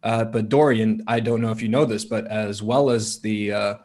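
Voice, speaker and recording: A man's voice: lowish voice, fairly even intonation, moderate pace, a little mellow. A man co-hosting a gaming podcast who explains things eagerly and gives friendly tips. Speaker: podcast co-host. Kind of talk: casual gaming podcast chat.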